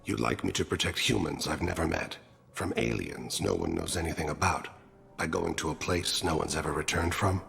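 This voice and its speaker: An actor playing a courteous, philosophical alien assassin who is dying, with a gravelly voice and a formal, measured, solemn manner in a video game. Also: male voice, low voice, fairly bright tone, faint music behind, exaggerated voice. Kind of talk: video game cutscene acting.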